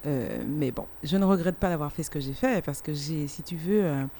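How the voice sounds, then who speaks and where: A woman's voice: low voice, moderate pace, dark tone. A middle-aged woman with a Martinican French accent, speaking casually and emphatically as she tells her own story as an interviewed artist. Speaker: singer and musician interviewed as guest.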